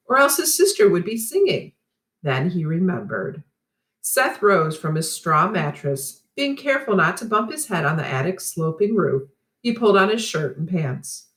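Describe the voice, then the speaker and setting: A woman with a slightly low voice reads a storybook aloud to children. Her pitch moves in a lively way, and her phrasing is expressive and deliberate, like a teacher reading to pupils. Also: echoey room.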